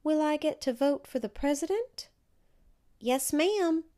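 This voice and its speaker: A woman's voice: high-pitched, lively intonation, unhurried, warm tone, voice pushed up. A woman voicing an eager, curious little girl in a storybook. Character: eager, curious little girl narrator Connie, questioning and promising. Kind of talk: storybook character dialogue.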